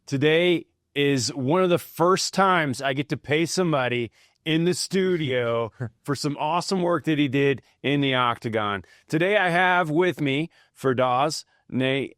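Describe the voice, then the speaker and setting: A man hosting a podcast from a studio, chatting in an upbeat, friendly way with quick bursts and restarts. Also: a little high, a bit bright, dry recording. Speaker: podcast host. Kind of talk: casual interview chat.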